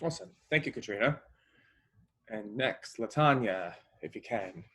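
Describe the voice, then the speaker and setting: A man's voice: fairly low pitch, expressive, normal speed, a bit bright, husky. A man hosting a webinar panel over a video call, hesitant with a slight stutter and many fillers. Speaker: host and moderator. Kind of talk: casual webinar moderation.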